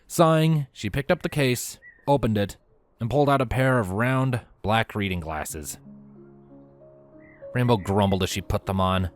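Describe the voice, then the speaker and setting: A man narrating a story from a script in an expressive, animated way with theatrical emphasis. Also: fairly low pitch, a little slow, soft background music. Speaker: audiobook-style narrator of fan fiction.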